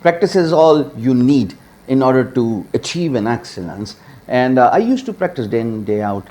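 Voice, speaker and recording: A man in his forties from Pakistan speaks straight to camera in a small home studio, sharing how he built his career. His delivery is lively and emphatic, with sudden pitch jumps on key words and plenty of uh fillers. A small lapel mic keeps his voice close. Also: normal speed. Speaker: freelancer and YouTuber. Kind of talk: direct-to-camera personal story.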